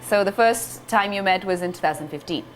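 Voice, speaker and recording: A woman's voice: medium pitch, a little fast, a little hiss or hum. A young woman hosting a TV talk show, asking questions in a friendly manner and laughing along, on a lapel mic. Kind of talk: interview questions. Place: TV studio set.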